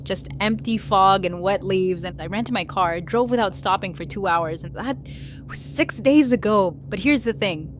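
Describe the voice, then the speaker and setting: A woman playing a haunted wildlife photographer, narrating a first-person ghost story with suspenseful, deliberate pauses. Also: medium pitch, noisy background, lightly acted. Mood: shaken, ominous. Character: haunted young woman wildlife photographer recounting a terrifying encounter.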